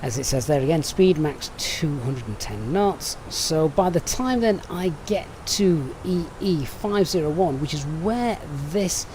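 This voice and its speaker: A man's voice: on the high side, animated, measured pace, few pauses, a little mellow, a little hiss or hum. A man with a Sheffield accent on a live stream, methodically briefing a flight and chatting with viewers between steps. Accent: Sheffield English. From Sheffield, England.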